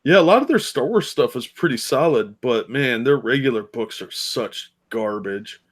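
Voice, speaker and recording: A man with an average-pitched voice, hosting a podcast. He talks in a casual, conversational way. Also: animated, moderate pace. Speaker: podcast host. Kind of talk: casual chat.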